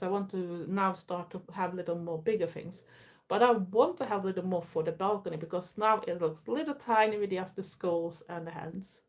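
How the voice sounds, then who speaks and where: A middle-aged woman from Sweden with a Swedish accent, chatting casually on a live stream in her bedroom, answering viewer comments with plenty of fillers. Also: mid-range voice. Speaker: YouTuber hosting a live stream. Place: bedroom at home. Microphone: phone camera.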